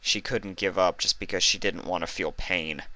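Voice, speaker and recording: Male narrator, low pitch, bright crisp edge, scripted story reading, dry recording. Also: fairly even intonation, moderate pace, dry recording. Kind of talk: scripted fan fiction narration.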